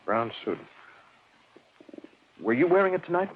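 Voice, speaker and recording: An actor playing a probing, matter-of-fact police lieutenant in an old radio drama, measured and businesslike. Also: male voice, a little high, sing-song, breathy edge, phone-quality sound, exaggerated voice. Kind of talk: 1940s-50s radio drama acting. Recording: thin, noisy old radio broadcast.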